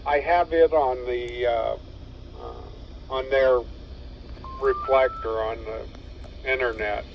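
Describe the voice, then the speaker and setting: A man chatting casually over ham radio in a halting, rambling, matter-of-fact way. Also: medium pitch, fairly even intonation, gravelly. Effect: two-way radio transmission. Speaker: amateur radio operator. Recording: dull two-way radio signal, faint noise.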